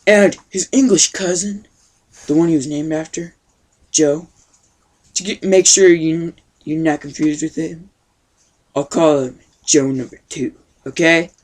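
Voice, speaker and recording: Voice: mid-range voice, steady tone, unhurried, natural voice. Rambling, folksy grandpa storyteller, played by an amateur. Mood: rambling storytelling. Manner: folksy, rambling, youthful slangy phrasing. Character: totally wicked awesome old grandpa telling a tale.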